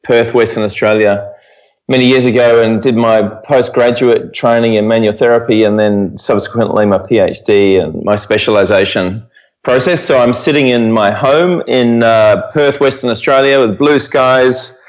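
A man's voice: mid-range voice, fairly even intonation, normal speed, highs cut off. A middle-aged man with a New Zealand accent explaining things reflectively as an interview guest. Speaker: guest expert, physiotherapy professor. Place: at home, remote interview.

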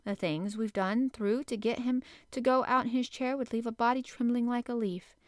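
A woman's voice: steady tone, normal speed, no echo, clean studio sound, close to an everyday voice. Woman playing a fussy flustered housekeeper. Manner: breathless, fussy, higher and louder than the narration. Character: flustered middle-aged female housekeeper, anxious to please.

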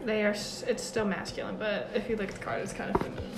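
A woman's voice: medium pitch, fairly even intonation, quick pace, creaky. A young woman reading tarot cards for friends in a casual, playful podcast chat. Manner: giggly, playful, reads cards aloud. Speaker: tarot reader. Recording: echoey room, background noise.

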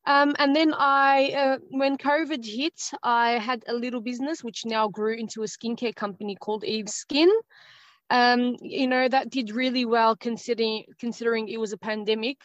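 A woman's voice: a little high, steady tone, moderate pace, a bit bright, no room sound. A woman in her thirties with an Australian accent, talking as a guest in a casual interview, rambling and hesitant with many fillers. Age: 30s. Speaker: guest author. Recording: dry, dull lo-fi sound.

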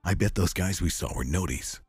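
A man's voice: low-pitched, fairly quick, breathy edge, no echo, voice pushed down, full character voice. A man voicing a young boy companion in a storybook reading. He speaks eagerly.

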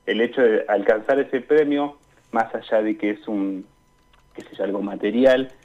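A man's voice: on the low side, normal speed. A young Argentine man giving casual, hesitant interview answers as a guest calling in to a radio show. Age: young adult. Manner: hesitant, searching for words. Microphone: remote call to a radio show. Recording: dull, lo-fi call audio.

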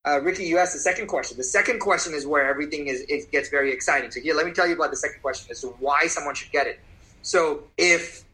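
Casual: ceo guy on a podcast, super punchy bright voice, pushes his points hard, repeats stuff for emphasis. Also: a little high, fast, low-quality recording. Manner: emphatic, persuasive, repeats for effect. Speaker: guest, company CEO. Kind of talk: interview answers.

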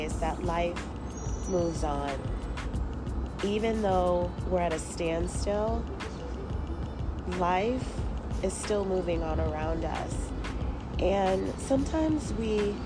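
A woman with a lowish voice, stuffed up from a cold, talks in a casual, reflective way like the host of a motivational show. She leans on repeated phrases to build emphasis. Loud music plays along with her voice. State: congested with a cold. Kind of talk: casual, reflective spiritual monologue. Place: outdoors in the morning.

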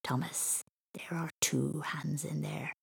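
Woman playing a husky, kindly teasing older girl. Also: low voice, steady tone, slow, dry recording, exaggerated voice. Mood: spooky whispering. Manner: affectionate, playful, soothing. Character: kind, teasing older girl looking after little boy. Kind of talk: children's story reading.

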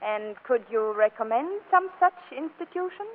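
An actress playing an exasperated, scheming young wife in 1940s screwball radio comedy, scolding or slyly sweet, on a muffled recording. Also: female voice, on the high side, lively intonation, normal speed. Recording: muffled vintage radio broadcast.

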